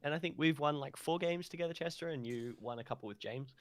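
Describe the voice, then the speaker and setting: A man's voice: on the high side, quick pace, no echo. A man co-hosting a podcast, casually explaining a video game in hesitant, piecemeal phrases. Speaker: podcast co-host.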